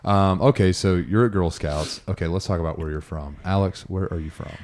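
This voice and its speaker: A man's voice: deep voice, a little fast, darkish tone, a little hiss or hum. A man hosting a podcast, asking questions in an easygoing, teasing way, with many short "yeah"s and "mm-hmm"s. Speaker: podcast host. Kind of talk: casual interview chat.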